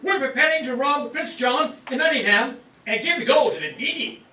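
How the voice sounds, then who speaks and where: A man's voice: extremely high pitch, lively intonation, normal speed, bright, forceful tone, slight background noise, heavily acted. A man playing a bold outlaw leader. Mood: commanding. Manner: rousing, commanding, gives orders. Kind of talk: live amateur stage radio play. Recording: roomy hall from far off, with music.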